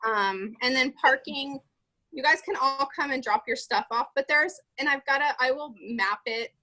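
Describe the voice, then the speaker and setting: Woman, meeting organizer, bright tone, raised pitch, chatty, listing items. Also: moderate pace, rough recording. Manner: chatty, lists items, frequent fillers. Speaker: event organizer leading a meeting. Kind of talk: casual planning meeting.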